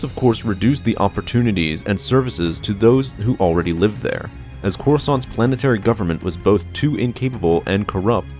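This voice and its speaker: Low-pitched man narrating over music. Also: darkish tone. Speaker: narrator of a lore explainer channel. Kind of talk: scripted narration. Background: background music.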